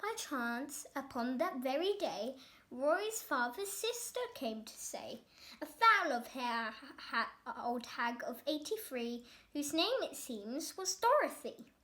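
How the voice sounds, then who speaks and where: A child storyteller giving an expressive reading of a rhyming story. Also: high-pitched, slow pace.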